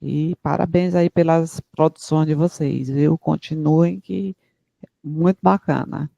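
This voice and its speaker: A woman with a Cearense accent speaks slowly and haltingly, with many fillers. Her voice is gravelly, dark and set a little high. The sound is dry. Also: fairly even intonation, no echo, dull audio. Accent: Cearense Brazilian Portuguese. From Fortaleza, Ceará, Brazil. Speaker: audience member and researcher. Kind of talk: spontaneous remarks at a panel.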